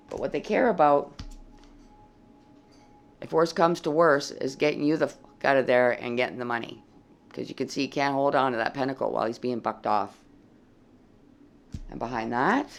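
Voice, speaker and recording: Blunt tarot reader with a froggy, gravelly voice. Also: medium pitch, animated, normal speed, pauses often. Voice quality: dry, froggy voice. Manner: blunt and plain-spoken, swears freely. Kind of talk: casual tarot reading to camera.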